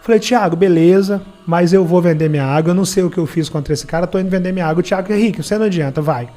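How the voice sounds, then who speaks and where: A man's voice: sits high, racing, dark tone. A Brazilian man telling a personal story in colloquial, emphatic conversation, acting out the dialogue as he goes. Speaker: podcast guest, entrepreneur and former beach water vendor.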